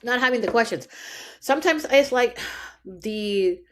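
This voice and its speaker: A woman's voice: on the high side, expressive, measured pace. Encouraging Puerto Rican woman podcaster. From Puerto Rico. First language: Spanish. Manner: earnest, encouraging, speaks straight to listeners. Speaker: podcast host. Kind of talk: motivational podcast monologue.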